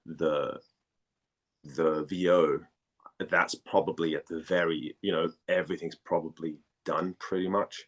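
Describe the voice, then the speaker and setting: Low-voiced guy from denmark chatting in an interview, halting, repeats himself while finding words. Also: little pitch movement, fairly bright tone. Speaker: actor and voice actor being interviewed. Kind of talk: casual conversational interview.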